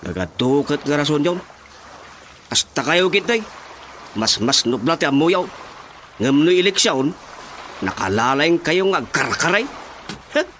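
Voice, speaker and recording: A man's voice: a little high, very animated, unhurried, long pauses, slight background noise. A man playing an indignant, suspicious villager in a radio drama, accusing and sarcastic, with an Ilocano accent. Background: loud music.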